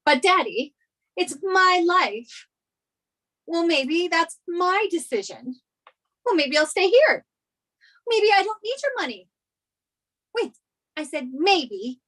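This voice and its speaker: A woman's voice: very high-pitched, big pitch swings, slow, long pauses, sharp tone, heavily acted. A woman playing a flustered, anxious young runaway bride in a sitcom table read. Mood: pleading.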